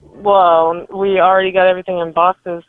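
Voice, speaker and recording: A woman's voice: lowish voice, little pitch movement, normal speed, dry recording. Blunt woman on a dull phone line. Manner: blunt, no-nonsense. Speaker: yard sale seller answering a prank call. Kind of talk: unscripted phone conversation.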